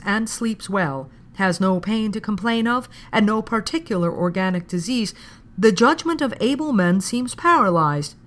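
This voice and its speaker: A woman reading an old formal text aloud in an audiobook style, with measured, deliberate phrasing. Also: lowish voice, a bit bright. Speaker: podcast host reading aloud.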